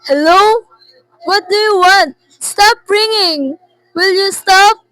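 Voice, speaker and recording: A woman's voice: extremely high pitch, slow, pushed and bright, faint music behind, exaggerated voice, rough recording. A teenage girl playing a frantic, imperious, nervous housebound wife in an amateur radio drama, indignant and haranguing. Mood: desperate, pleading. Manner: indignant, haranguing, repeats phrases. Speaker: student performer.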